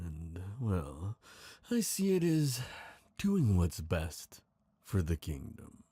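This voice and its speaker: A man voicing a smooth, scheming traitor prince, gloating and seductive, in an intimate fantasy ASMR roleplay. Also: deep voice, mellow, dry recording, clean studio sound, full character voice. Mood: smug, gloating. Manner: slow, intimate, theatrical villain delivery.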